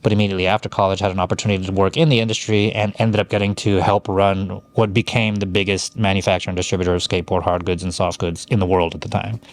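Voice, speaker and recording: A man's voice: low voice, little pitch movement, fast talker, few pauses. A middle-aged man from Hawaii co-hosting a podcast, telling his life story in a rambling, casual way with many fillers and restarts. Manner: rambling, frequent fillers and self-corrections. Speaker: podcast co-host.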